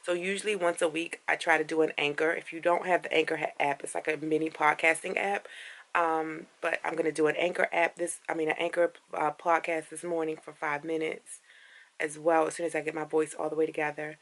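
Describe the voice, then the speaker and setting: Raspy, low-voiced woman vlogging from bed. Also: level tone, brisk, fairly bright tone. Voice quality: raspy deep morning voice. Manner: rambling, many fillers and restarts. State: tired after a night out. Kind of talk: casual vlog chat.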